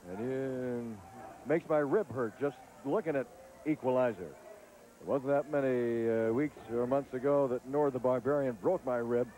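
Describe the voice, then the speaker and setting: A man's voice: mid-range voice, dark tone, a little hiss or hum, poor audio. A male wrestling TV host, commentating ringside and hard-selling upcoming events. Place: arena commentary booth.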